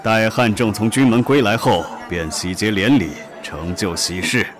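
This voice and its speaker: Man acting a low-voiced, stately old wuxia master. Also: moderate pace, soft background music, close to an everyday voice. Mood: hearty, proud. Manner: formal, stately, hearty patriarch. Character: genial sixty-year-old male martial sect master. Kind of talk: Chinese wuxia audio drama acting.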